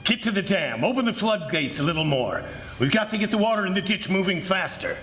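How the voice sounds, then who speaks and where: A man's voice: high voice, expressive, fairly quick, fairly bright tone. A man playing a cocksure, scornful plantation boss with a commanding, theatrical delivery in 1940s radio drama style. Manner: commanding, theatrical, barking orders. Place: live radio theatre performance on a college campus. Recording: clearly noisy live stage recording.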